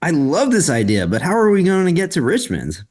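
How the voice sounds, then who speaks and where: A man playing a cheerful, excitable character in a children's story table read, bubbly and expressive. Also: fairly high pitch, brisk. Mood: upbeat, curious.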